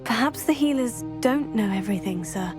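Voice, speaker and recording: A young woman playing a polite, earnest teenage witch student in a video game, measured and sympathetic. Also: on the high side, animated, over music, natural voice. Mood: polite, concerned. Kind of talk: video game cutscene acting.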